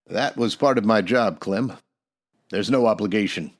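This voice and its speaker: A man's voice: fairly low pitch, moderate pace, close to an everyday voice. A man playing an upright, plain-spoken Old West marshal in a radio western, earnest and folksy. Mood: calm, earnest.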